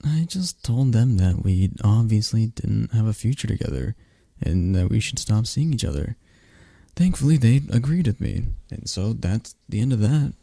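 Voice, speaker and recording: A man's voice: lowish voice, normal speed, vocal fry, no echo. A young man speaking in a scripted roleplay as a close friend, talking animatedly to someone the listener never hears. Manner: talks to an unheard listener, chatty and animated. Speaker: ASMR roleplay performer. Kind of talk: scripted friend roleplay, one-sided conversation.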